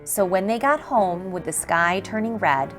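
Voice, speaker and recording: A woman reading a rhyming picture book aloud for children in an expressive, measured storytelling style. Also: medium pitch. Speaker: storybook reader. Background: faint background music.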